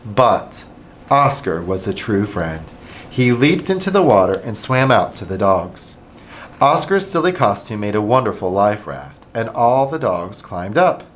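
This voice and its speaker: Lively, low-voiced man reading a storybook. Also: unhurried, a little hiss or hum. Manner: animated, expressive storytelling. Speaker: school administrator. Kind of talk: reading a picture book to children.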